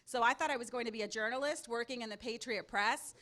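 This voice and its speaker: Loud, bright-voiced woman moderator. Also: fairly high pitch, fast talker. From Mexico. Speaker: panel moderator. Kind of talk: public speaking to a student audience. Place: event hall at a panel table. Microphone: handheld or table microphone.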